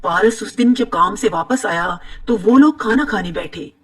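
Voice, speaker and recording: A woman narrating an animated moral story in a steady, moralizing telling. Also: mid-range voice, moderate pace, distant mic. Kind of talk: scripted cartoon narration.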